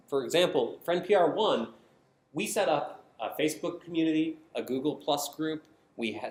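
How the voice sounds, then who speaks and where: An American man giving a prepared conference presentation, explaining his points emphatically in short, punchy statements. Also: medium pitch. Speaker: conference speaker, design team member. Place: indoor conference session room. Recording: roomy echo of a presentation space.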